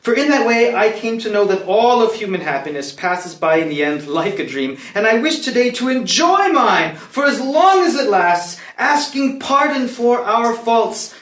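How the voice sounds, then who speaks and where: A man's voice: high voice, sing-song, a little slow, a bit bright, heavily acted. A man playing a proud young prince in a live reading of a classical play, with formal, declamatory delivery. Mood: wondering, earnest. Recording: roomy echo around the voice.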